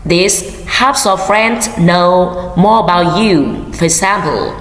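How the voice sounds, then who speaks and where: A woman English teacher giving calm, measured classroom instructions. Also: fairly low pitch. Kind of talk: slow scripted classroom instruction. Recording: echoey hall with faint noise.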